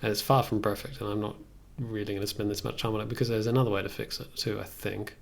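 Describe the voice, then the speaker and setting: Very creaky-voiced young man thinking aloud. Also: on the low side, level tone, quick pace, loud music behind the voice. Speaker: music production livestreamer. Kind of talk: casual tutorial chat while working. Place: indoors at a computer desk.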